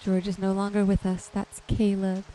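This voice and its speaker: A woman narrating her gameplay in an ASMR style, with a gentle, soothing manner. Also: medium pitch, monotone, a little hiss or hum, low-quality recording. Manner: gentle, unhurried, soothing narration of own actions. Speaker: host of a gaming channel. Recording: music behind the voice. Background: music.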